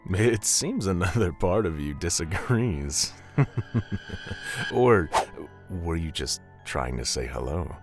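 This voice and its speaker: A man acting a smug, teasing demon in an animated roleplay drama, with formal, mocking and disdainful delivery. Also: low voice, slow, over music, exaggerated voice.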